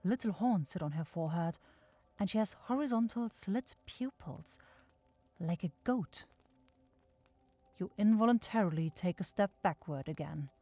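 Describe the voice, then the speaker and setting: A woman's voice: on the low side, animated, measured pace, long pauses, warm tone, no echo, soft background music. Woman narrating fantasy, dry close sound. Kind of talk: scripted second-person fantasy ASMR narration.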